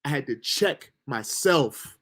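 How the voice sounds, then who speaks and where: Young dude on a livestream, loose slangy talk, swears a lot, middle pitch, hypes himself up. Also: male voice, animated, slow pace, a bit bright. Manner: loose, slangy, motivational self-talk with profanity. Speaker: livestream host. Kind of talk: casual livestream monologue.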